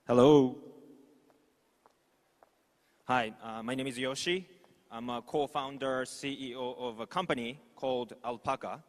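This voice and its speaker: A man from Japan with a Japanese accent, a native Japanese speaker, giving an informal, halting conference presentation. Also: medium pitch, steady tone. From Osaka, Japan. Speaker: startup executive, conference speaker. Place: large conference hall stage. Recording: echoey hall with long reverb.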